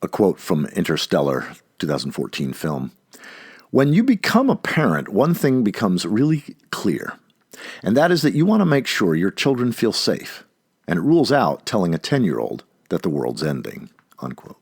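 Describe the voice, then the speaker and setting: A man reading an essay aloud like an audiobook narrator, expressively and with deliberate, emphatic phrasing. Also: low-pitched, huge pitch swings, darkish tone, slightly rough, dry recording, clean studio sound.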